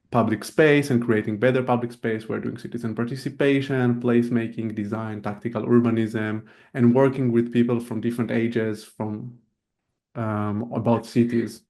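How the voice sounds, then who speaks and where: A man in his thirties, an urban planner, giving a relaxed presentation over a video call with frequent fillers. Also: mid-range voice. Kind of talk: casual presentation.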